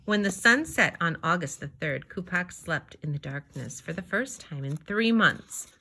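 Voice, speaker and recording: A woman reading a children's story aloud, narrating expressively in deliberate, measured phrases. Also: fairly low pitch, animated, creaky, bright, forceful tone. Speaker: storybook reader.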